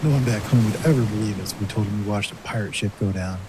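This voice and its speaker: A low-pitched young male buddy played by an actor in an audio drama. He is casual, slangy and joking, with clear sea and ship noise around him. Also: fast, lightly acted. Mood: astonished. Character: laid-back, amazed, joking young buddy. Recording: noisy sea and ship ambience.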